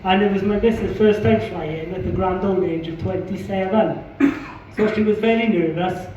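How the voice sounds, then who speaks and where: Welsh guy doing sweary self-mocking standup, high voice, muffled and far off in a pub. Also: steady tone, normal speed, a little mellow, a little hiss or hum. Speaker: stand-up comedian. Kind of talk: stand-up comedy set. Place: pub comedy night. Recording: echoey pub room, distant muffled mic.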